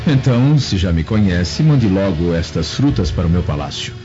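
Imperious, threatening Roman senator for radio drama, expressive intonation, light music behind. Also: male voice, low voice, normal speed. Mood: haughty, curt. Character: haughty, suspicious Roman senator. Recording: dull lo-fi audio with music.

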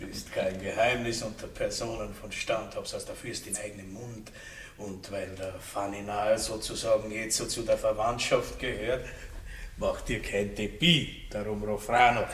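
An actor playing a boorish, vain Viennese baron in a radio play, pompous and condescending in a drawling way. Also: male voice, lowish voice, a bit bright. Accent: Viennese Austrian. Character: boorish, vain, lecherous Austrian baron bridegroom. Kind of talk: 1960s radio play acting. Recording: old, roomy, distant recording with hiss.

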